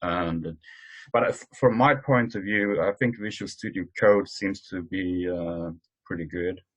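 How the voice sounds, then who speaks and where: Low-voiced man, halting and hesitant. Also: rough recording. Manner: hesitant, halting delivery with many pauses. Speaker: meeting host presenting tools. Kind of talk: informal work meeting presentation. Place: online conference call.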